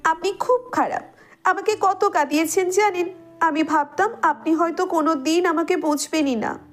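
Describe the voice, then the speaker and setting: High-voiced, hurt young wife played by a woman. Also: normal speed, faint music behind. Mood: tearful reproach. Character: hurt, tearful young wife, proud but loving. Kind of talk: romantic audio story dialogue.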